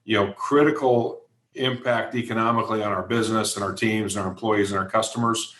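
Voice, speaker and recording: A man's voice: fairly low pitch, steady tone, fairly quick, fairly bright tone. An American man giving conversational interview answers as a guest, earnest, emphatic and plain-spoken. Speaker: interview guest, events company executive. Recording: echoey room sound.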